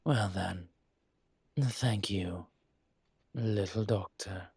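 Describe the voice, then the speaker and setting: A man playing a suave, courteous dragon mafia boss in an intimate roleplay audio, with a husky, breathy voice and a gentle, teasing formality. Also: lowish voice, drawn-out words, no echo, heavily acted. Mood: warm, sincere.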